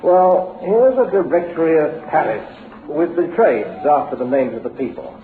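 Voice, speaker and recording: A man's voice: on the high side, expressive, moderate pace, natural voice. An actor playing a glib, patronising businessman with a falsely encouraging, jovial manner in an old-time radio drama. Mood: businesslike, flattering. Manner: hearty, patronising, chuckling. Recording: lo-fi narrow-band radio recording, faint noise, roomy.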